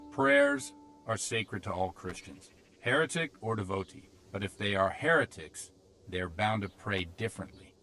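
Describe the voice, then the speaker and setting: An actor playing a cautious, protective older village priest in a video game, speaking plainly and earnestly. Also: male voice, on the low side, lively intonation, normal speed, bright, forceful tone. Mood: earnest, resolute. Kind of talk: video game cutscene acting. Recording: music behind the voice.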